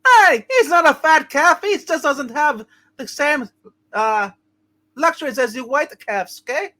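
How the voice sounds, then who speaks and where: A man acting a cheerful, boyish younger brother in a hammed-up amateur reading, chatty and halting. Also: extremely high pitch, lively intonation, bright, forceful tone, exaggerated voice. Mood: bubbly.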